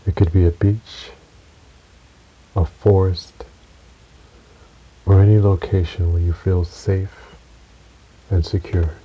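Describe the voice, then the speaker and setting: A man narrating a guided relaxation meditation in a soothing, measured way, with long pauses between short phrases. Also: low-pitched, level tone, mellow, husky. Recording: dull recording with audible hiss.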